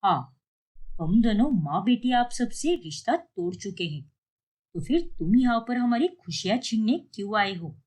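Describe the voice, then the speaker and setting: A woman's voice: on the high side, very animated, measured pace, a little mellow. A woman voicing a cold, defiant, scheming mother in a cartoon, speaking in a curt, hostile manner. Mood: cold defiance.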